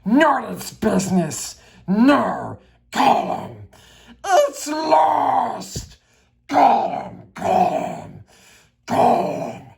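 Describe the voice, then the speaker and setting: A woman's voice: fairly high pitch, very animated, very slow, vocal fry, a little mellow, pitched above the performer's own voice, full character voice. A woman voicing a wretched, hissing male cave creature who whines and talks to himself. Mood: wailing. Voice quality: hissing, shrieking. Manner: talks to himself, wailing and whining.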